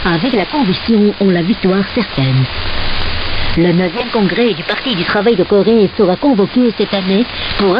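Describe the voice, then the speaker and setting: Woman announcer on hissy shortwave radio. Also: mid-range voice. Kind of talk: formal scripted radio address. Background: loud static hiss.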